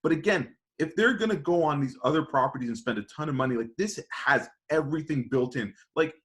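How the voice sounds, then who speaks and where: Man, slightly high pitch, casual podcast debate, argumentative, insistent, emphatic. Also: expressive, brisk, background noise. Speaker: podcast panelist.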